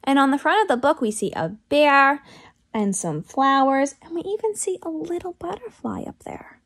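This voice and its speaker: A woman's voice: high-pitched, normal speed. A young woman teacher reading aloud and explaining things expressively to young children. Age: young adult. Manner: expressive, addressing small children with questions. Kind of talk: story read-aloud and lesson for young children.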